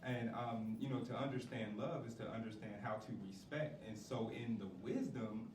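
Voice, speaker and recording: A man's voice: medium pitch, steady tone, normal speed, recorded from a distance. A man speaking earnestly and reflectively in a preacherly way, quoting scripture during an interview as a production manager and acting coach. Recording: roomy echo, off-mic, clear background noise.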